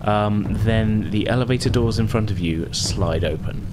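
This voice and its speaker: A man's voice: lowish voice, moderate pace. A man narrating a tabletop role-playing game as game master, in a conversational, descriptive way. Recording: heavy constant background noise.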